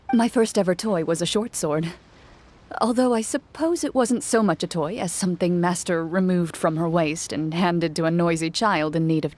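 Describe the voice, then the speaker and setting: An actress voices a young woman duelist from a video game. Her voice sits fairly low, and she speaks with calm, formal composure. Every phrase is measured and self-possessed. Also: close to an everyday voice. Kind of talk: scripted game dialogue.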